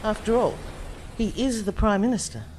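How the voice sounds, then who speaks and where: A woman's voice: mid-range voice, expressive, moderate pace, darkish tone, slight background noise, natural voice. A woman playing a concerned, composed friend being interviewed as a witness in a radio play.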